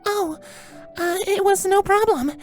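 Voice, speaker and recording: A man voicing a shy, gentle, modest female winged pony with a timid, hesitant manner, in a story reading. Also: very high voice, a little slow, faint music behind, pitched above the performer's own voice.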